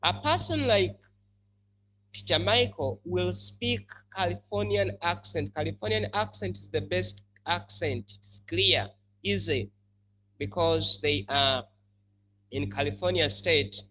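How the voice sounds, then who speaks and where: Slow, bright-voiced male English teacher. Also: high-pitched, slight background noise, poor audio. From country formerly colonized by the UK. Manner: deliberate explaining, spelling out letters, repeating key words. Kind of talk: classroom lesson on English.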